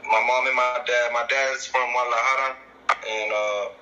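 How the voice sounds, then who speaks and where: A man from El Paso who grew up speaking Spanish, chatting casually as a podcast guest over a video call, relaxed and full of slang. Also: medium pitch, normal speed, highs cut off. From El Paso, Texas. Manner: laid-back, heavy use of slang and fillers. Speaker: guest artist.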